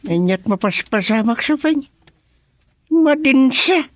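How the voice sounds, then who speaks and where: A man's voice: very high-pitched, lively intonation, takes it slowly, a little hiss or hum, heavily acted. A man with an Ilocano accent playing a comic, grumbling village son in a radio drama, whiny and exclamatory. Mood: indignant.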